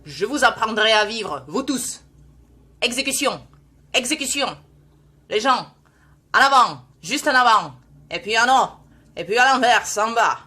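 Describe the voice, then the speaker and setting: A woman, a native Russian speaker, voices a half-mad man who barks orders and shouts. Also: on the high side, expressive, measured pace, long pauses, pitched above the performer's own voice, full character voice. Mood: ranting. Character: wild ranting man, half-mad, commanding.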